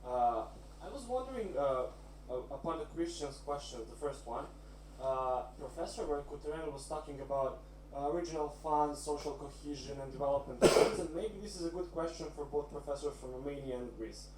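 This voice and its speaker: A man heard from a distance in an echoey room, with faint hum behind him. He is from Serbia, speaks English as a second language and poses a question to academic panelists at a middling pitch. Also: a little fast, darkish tone. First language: not English. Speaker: audience questioner at academic round table.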